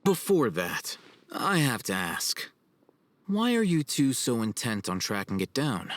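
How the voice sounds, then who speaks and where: A man's voice: mid-range voice, very animated, measured pace, fairly bright tone, dry recording. An actor playing a cool, shrewd young male scholar in a video game, composed and matter-of-fact. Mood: cool, assured. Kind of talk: video game cutscene acting.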